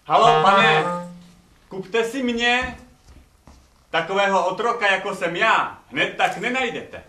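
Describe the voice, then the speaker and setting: An actor playing Aesop, an ugly, witty and impudent slave who tells tales, in theatrical stage comedy style. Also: male voice, high voice, lively intonation, pushed and bright, soft background music. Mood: cheeky bargaining. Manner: theatrical, cheeky, declaiming. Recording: echoey theatre stage, distant microphone.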